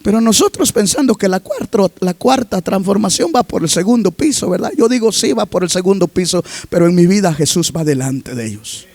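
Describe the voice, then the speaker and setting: A man preaching a sermon as pastor, reading scripture aloud and constantly asking the congregation questions, rising to emphatic shouts. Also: high-pitched, lively intonation, a little fast, vocal fry, hardly any pauses, no room sound. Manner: reads scripture aloud between remarks.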